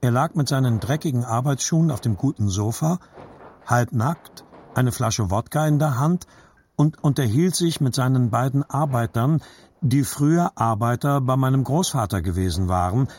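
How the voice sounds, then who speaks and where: A man reading a literary story as a scripted audiobook narration, with a husky voice. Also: mid-range voice, expressive, moderate pace, darkish tone.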